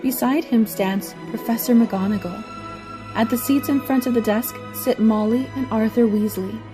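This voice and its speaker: A woman's voice: fairly high pitch, moderate pace, over music. A woman reading scripted story narration for an audio drama.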